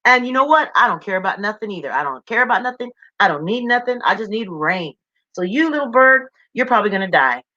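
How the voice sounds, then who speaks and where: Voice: medium pitch, animated, fast talker, sharp tone, highs cut off. A storyteller voicing a bitter, burned old female oak tree, self-pitying and dismissive, with folksy, emphatic, repetitive refusals in an oral children's story.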